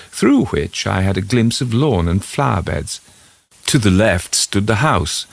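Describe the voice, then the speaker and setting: A man reading a scripted first-person literary story as its narrator, in a measured storytelling manner. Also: low-pitched.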